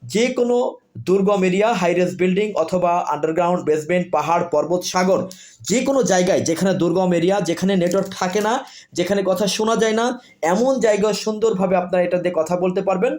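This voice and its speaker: A man with a Bangladeshi accent giving an informal product sales pitch, repetitive and insistent, running through points in lists. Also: steady tone, quick pace, a little mellow. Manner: repetitive, insistent, with list-like phrasing. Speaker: product seller.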